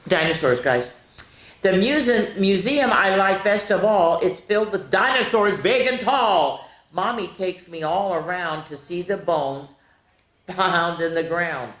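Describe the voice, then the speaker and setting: A middle-aged or older woman hosting children's storytime, reading picture books aloud in an animated way, stretching key words and chatting directly to the kids. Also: deep voice. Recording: roomy, echoey room sound.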